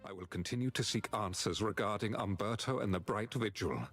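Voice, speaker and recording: An actor playing an earnest, dutiful knightly warrior in a fantasy video game, speaking formally and gravely. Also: male voice, fairly low pitch, fairly quick, a bit bright, soft background music, close to an everyday voice. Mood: resolute. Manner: formal, declamatory.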